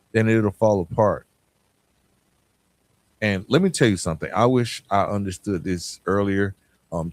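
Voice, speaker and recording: An American man hosting a podcast, giving a reflective motivational monologue in an earnest, emphatic way with deliberate pauses. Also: on the low side, dry recording. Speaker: podcast host.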